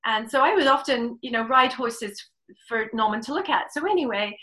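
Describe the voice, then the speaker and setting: A woman's voice: a little high, animated, normal speed, pushed and bright, poor audio. A middle-aged woman with an English accent, casually telling her own story as an interview guest. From England. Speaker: webinar guest, riding clinician and horse trainer.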